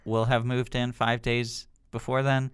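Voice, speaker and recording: A man's voice: medium pitch, level tone, a little slow, clean tone, no echo, studio quality. A young man co-hosting a podcast with casual, conversational banter. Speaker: podcast co-host.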